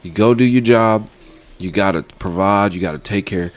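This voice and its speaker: A man, chatting casually on a podcast and telling stories with laughs. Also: moderate pace. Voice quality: deep voice. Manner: storytelling with laughs, Southern US slang. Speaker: podcast co-host.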